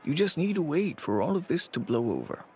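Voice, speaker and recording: A man playing a world-weary, cautious middle-aged businessman and father in a video game, measured and resigned in manner. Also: a little high, sing-song, darkish tone, a little hiss or hum. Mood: conciliatory.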